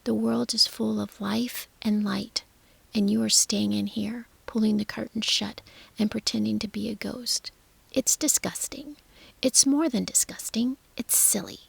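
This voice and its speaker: A woman's voice: fairly high pitch, fairly even intonation, measured pace, gravelly, husky, dry recording, heavily acted. A woman voicing a stubborn, blunt young girl who scolds in a clipped whisper. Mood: scolding.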